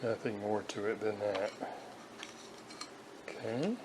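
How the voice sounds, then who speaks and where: An older man giving an unscripted hands-on workshop demonstration, talking haltingly and pausing mid-sentence. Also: fairly low pitch. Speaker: hobbyist restorer demonstrating a repair. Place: indoors at a home kitchen counter. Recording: noisy home video, room ambience.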